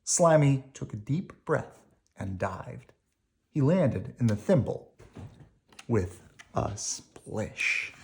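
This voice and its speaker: A woman reading a picture book aloud as an expressive narrator for children. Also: a bass voice, extremely slow, long pauses, a little hiss or hum. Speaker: storybook reader.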